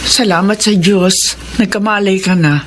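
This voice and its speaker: A woman's voice: medium pitch, unhurried, background noise, natural voice. An actress with a Filipino accent playing a caring, solicitous mother figure in a radio drama. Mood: relieved, caring.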